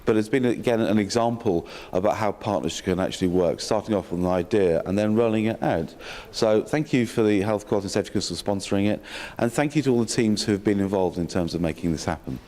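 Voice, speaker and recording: A man with a slightly croaky voice giving a welcome speech at a health sector session, with dry self-mocking humour and many ums and restarts. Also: fairly low pitch, fast talker, warm tone. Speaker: opening speaker at a health sector learning session. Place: conference hall. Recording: long hall reverb.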